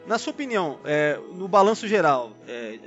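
A man's voice: high-pitched, big pitch swings, moderate pace, a bit bright. A Brazilian man co-hosting a podcast in a casual fan discussion, often checking for agreement as he talks. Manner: conversational, frequently seeking agreement with tag questions. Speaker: podcast co-host. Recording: dull lo-fi audio, music underneath. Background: background music.

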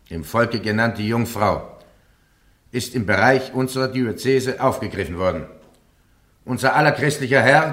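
An actor playing a stern bishop presiding over a heresy trial, speaking in a solemn, formal, commanding way. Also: male voice, fairly low pitch, a bit bright, reverberant. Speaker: presiding judge.

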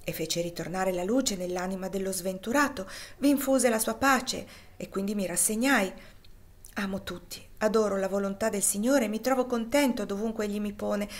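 A gentle, deeply devout older man talks softly and tenderly, pleading in a hushed way, as a woman reader puts him on. His voice sits at an ordinary middle pitch and is not theatrical. It is an audiobook reading. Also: normal speed, natural voice.

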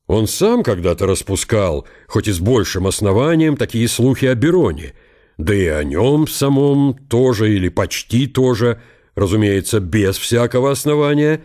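An actor playing an aging count and veteran commander in a radio drama, brooding and calculating in a deliberate, reflective monologue. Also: male voice, lowish voice, a little mellow, natural voice.

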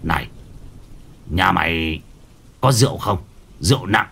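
A man voicing a gruff old male village shaman who barks curt orders in a low growl. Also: expressive, measured pace, fairly bright tone, slight background noise, full character voice. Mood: harsh, scolding.

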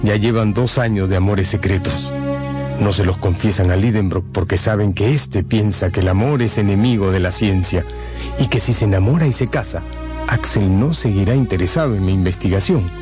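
A man's voice: fairly low pitch, moderate pace, over music. A man narrating a scripted radio drama as a dramatic storyteller.

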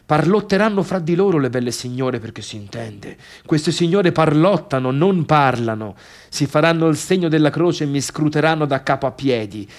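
An actor playing a middle-aged failed pianist, bitter and obsessive, in a scornful, sarcastic theatrical monologue about the sister who left him. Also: male voice, on the high side, animated, moderate pace. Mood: brooding, sardonic. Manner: scornful, sarcastic, brooding, swinging between muttering and outbursts.

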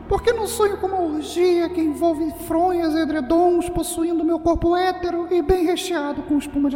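A woman playing an indignant, rebellious angel pillow in a comic audio drama, shrill and declamatory. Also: dark tone. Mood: baffled, indignant. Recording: echoey hall reverb.

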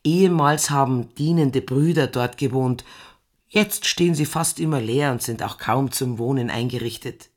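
An actress playing a stately, hospitable farm landlady in a radio drama, husky-voiced, explaining things courteously and matter-of-factly. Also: rumbling low, moderate pace.

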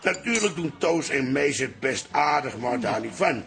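An actor playing a nostalgic, stubborn, boastful old former pub landlord in a radio comedy drama, opinionated and grumbling. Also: male voice, mid-range voice, animated, normal speed, vocal fry, fairly bright tone. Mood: nostalgic, self-assured. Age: older.

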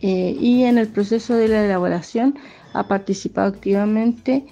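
A woman with a Chilean accent giving an interview statement as an official, halting and searching for her words. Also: mid-range voice, mellow. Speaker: municipal official being interviewed. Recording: dull lo-fi interview clip. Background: faint music.

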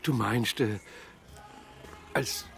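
An actor playing a hesitant, bashful bookseller in a radio crime drama, speaking in an awkward, halting way. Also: male voice, mid-range voice, gravelly, a bit bright, soft background music, full character voice. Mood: flustered. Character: hesitant, bashful male bookseller, the detective's friend.

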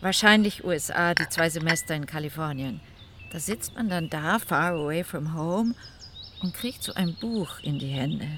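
A woman's voice: low-pitched, animated, moderate pace, noisy background. An actress playing an infatuated female scholar, confiding and musing in a radio play monologue. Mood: wistfully enraptured. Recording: clear outdoor ambience with birdsong.

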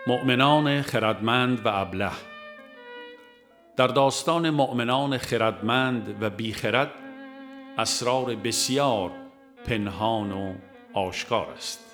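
A man reading a devotional audiobook in a measured, solemn, formal literary manner. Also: medium pitch, fairly bright tone, faint music behind. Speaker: audiobook reader. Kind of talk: scripted narration of a devotional text.

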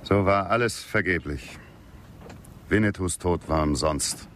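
An actor in a German radio drama playing a disillusioned western hero, grave and bitterly accusing. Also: male voice, sits low, a little slow, background noise. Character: grieving, disillusioned aging western hero, man.

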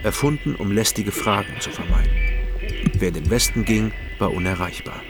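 A man narrating a crime story in first person and questioning witnesses calmly. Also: lowish voice, moderate pace, natural voice. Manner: calm, questioning. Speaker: narrator and detective protagonist. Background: music.

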